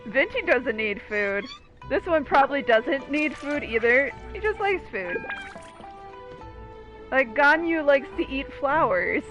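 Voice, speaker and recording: A woman's voice: sits high, sing-song, slow pace, fairly bright tone, rough recording. A woman giving casual, informal, reactive commentary over a game playthrough. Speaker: gaming video commentator. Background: game music.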